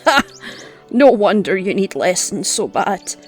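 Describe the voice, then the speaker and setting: An actress playing a gruff, scolding old woman who teaches hunting with blunt scorn in an audio drama. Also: mid-range voice, sing-song, measured pace. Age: older. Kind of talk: audio roleplay drama acting. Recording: music under the voice.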